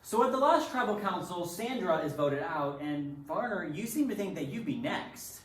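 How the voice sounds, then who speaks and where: A man's voice: high voice, expressive, moderate pace. A man performing in a readers theatre piece with rehearsed, theatrical delivery. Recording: echoey room, distant from microphone.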